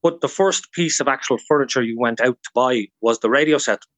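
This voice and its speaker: A man's voice: medium pitch, a little fast, a bit bright, dry recording. A man with an Irish accent talking as a guest historian in a radio interview, explaining things informally through anecdotes.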